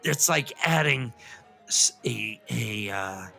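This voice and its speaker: Man playing a gravelly, rambling lore-lecturer puppet. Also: mid-range voice, lively intonation, slow pace, pushed and bright, faint music behind, full character voice. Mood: earnest, rambling. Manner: rambling, professorial, full of digressions and stammers. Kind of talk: semi-scripted comic puppet-show lecture.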